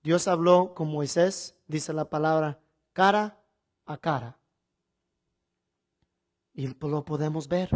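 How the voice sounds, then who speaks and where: A male preacher teaches from the Bible in a sermon style. He phrases each point deliberately and with emphasis, leaning on repetition and questions put to his listeners. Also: a little high.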